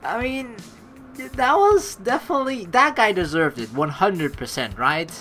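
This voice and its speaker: A woman hosting a let's play in an excitable, reactive way, commenting casually and reading narration aloud. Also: mid-range voice, unhurried, faint music behind.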